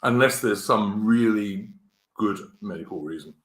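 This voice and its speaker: English man, 50s, live-stream host, bright edgy tone, roomy echo, halting delivery. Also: lowish voice. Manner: halting, many fillers and restarts. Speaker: podcast host. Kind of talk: casual political chat.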